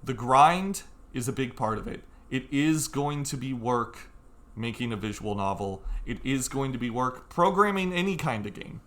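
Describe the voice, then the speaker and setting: A young adult man speaks at a medium pitch as he hosts a tutorial. His delivery is conversational and frank, leaning hard on key words to make his advice clear. He sounds like someone talking straight to viewers from his own room. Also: expressive, moderate pace, fairly bright tone. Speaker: tutorial host. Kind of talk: informal tutorial talk to viewers.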